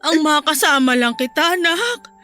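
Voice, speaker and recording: A woman playing a protective, loving, excitable mother in a Filipino audio drama, speaking emphatically and emotionally. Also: sits high, moderate pace. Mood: tearful, tender. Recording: music under the voice.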